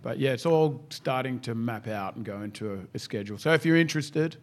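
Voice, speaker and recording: A man's voice: mid-range voice, a little fast. Laid-back man who swears freely. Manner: casual, swears freely, says bro. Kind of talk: casual podcast chat.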